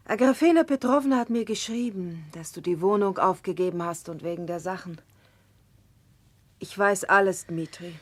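A woman's voice: on the low side, normal speed, lightly acted. An actress playing a nobleman's concerned, admonishing married sister in a 1960s German radio drama, genteel in manner.

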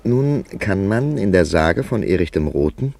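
An actor playing an inquisitive literary narrator in a German radio play, speaking in a measured storytelling manner. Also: male voice, sits low, sing-song, gravelly, a little mellow, exaggerated voice. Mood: absorbed narration. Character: male literary narrator, inquisitive confidant of a young clerk.

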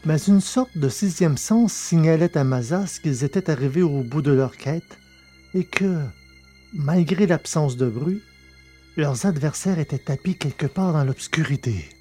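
A man reading a science fiction story aloud in a steady, measured narration. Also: fairly high pitch, husky, soft background music. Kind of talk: scripted audiobook narration.

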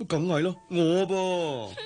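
A man's voice: sits high, lively intonation, slow pace, mellow, soft background music. A man playing a confident, easygoing young jockey and doting fiancé in a Cantonese radio drama, with a teasing manner. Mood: cocky, teasing. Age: young adult.

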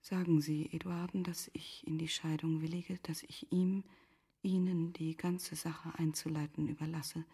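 An actress playing a grieving, resigned noblewoman in a literary radio play, speaking in a hushed, solemn and deliberate way with a whispery voice. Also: sits low, little pitch movement, heavily acted. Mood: quiet resolve. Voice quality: breathy, whispery. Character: grieving, resigned noblewoman, composed and self-reproaching.

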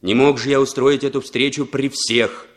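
An actor playing a scheming foreign man who talks smoothly and insinuatingly in a 1960s radio drama. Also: medium pitch, fairly even intonation, normal speed, close to an everyday voice.

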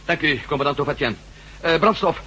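A man's voice: fairly high pitch, expressive, brisk, natural voice. A man acting an urgent, commanding adventurer leading a resistance team in a Dutch radio drama. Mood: firm, serious. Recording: very noisy radio drama recording.

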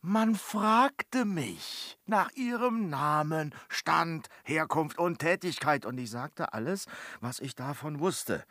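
An actor playing a cheeky, sly manservant, theatrical and self-satisfied, in a classic radio comedy. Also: male voice, fairly high pitch, expressive, slow, hardly any pauses, pushed and bright, no echo, clean studio sound, heavily acted. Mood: amused, boastful. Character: cheeky, sly manservant spinning tall tales.

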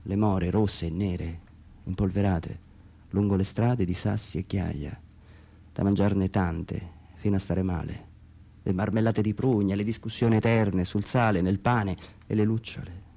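A man's voice: sits low, fairly even intonation, a little slow, vocal fry, mellow. A man from Umbria, Italy, giving a dramatic literary reading of his own text for radio theatre, brooding and theatrical, sometimes dropping to near whispers.

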